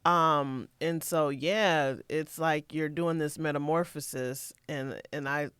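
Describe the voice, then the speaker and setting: A woman's voice: sits low, steady tone, slow, a bit bright, clear, resonant tone, dry recording. A woman in her late forties co-hosting a podcast, chatting casually and playfully and laughing easily. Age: late 40s. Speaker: podcast co-host. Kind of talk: casual conversation.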